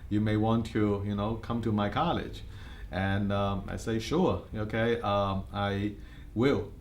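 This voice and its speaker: A man's voice: on the low side, a little hiss or hum. Hong Kong-accented man telling his story. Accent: Hong Kong Cantonese. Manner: earnest, many fillers and restarts. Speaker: insurance agency head. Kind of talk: interview life story.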